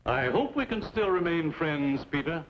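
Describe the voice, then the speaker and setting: A man's voice: fairly high pitch, very animated, moderate pace. An actor playing a stern, formal businessman in an old-time western radio drama. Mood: coolly threatening. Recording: muffled, noisy old radio recording.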